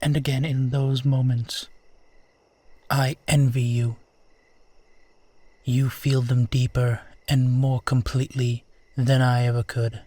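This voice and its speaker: Man playing a slow-spoken, courtly vampire. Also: mid-range voice, level tone, warm tone, dry recording. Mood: tender, wistful. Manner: courtly, formal, measured, tender. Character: courtly, wistful, gentle immortal male vampire. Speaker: voice actor in audio roleplay. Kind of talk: intimate scripted roleplay monologue addressed to listener.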